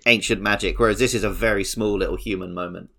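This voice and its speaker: A man's voice: on the low side, fairly even intonation, fast, vocal fry, bright, forceful tone. A man with an English accent co-hosting a podcast, telling stories in a casual, conversational way. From English countryside. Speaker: podcast co-host.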